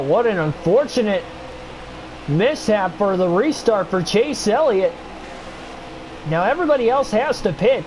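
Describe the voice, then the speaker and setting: A man's voice: sits high, slight background noise, rough recording. Stuffy-nosed young man calling a sim race. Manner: excitable play-by-play, chatty asides to viewers. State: stuffy nose, voice getting worn. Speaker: streamer doing race commentary. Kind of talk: play-by-play sim racing commentary.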